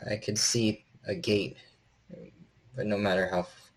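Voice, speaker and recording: A man voicing a stocky, gentle, frightened handyman in a tabletop horror game, speaking hesitantly and stammering in a friendly way. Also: fairly low pitch, steady tone, unhurried, close to an everyday voice, rough recording. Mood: weary, earnest.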